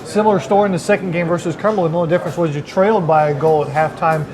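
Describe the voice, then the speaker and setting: A man's voice: a little high, fairly even intonation, racing, low-quality recording. A man hosting a live sports interview show, asking a guest questions in a busy eatery. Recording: crowd chatter behind the voice. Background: crowd chatter.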